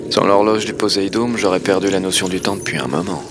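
A man playing an isolated deep-sea station keeper, speaking a subdued, measured logbook monologue in an audio drama. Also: background noise, natural voice. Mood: subdued, brooding. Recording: music behind the voice.

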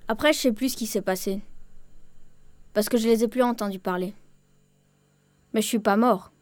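A woman's voice: fairly high pitch, normal speed, darkish tone, no room sound, close to an everyday voice. A woman playing a cheeky fourteen-year-old boy who tells his own story in a plain, colloquial, childlike way, as in an intimate radio drama. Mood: matter-of-fact, candid. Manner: colloquial, matter-of-fact, childlike. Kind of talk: intimate first-person radio drama narration. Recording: music faintly behind a dry studio voice.

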